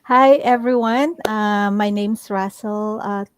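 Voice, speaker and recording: A woman's voice: mid-range voice, steady tone, slow pace. A middle-aged woman with a Filipino accent introducing herself as a panelist. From Philippines. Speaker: panelist, nurse. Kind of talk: self-introduction on an online panel.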